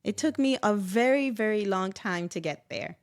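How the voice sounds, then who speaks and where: A middle-aged woman speaking reflectively and personally in conversation, with animated, expressive asides. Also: mid-range voice, moderate pace. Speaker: guest.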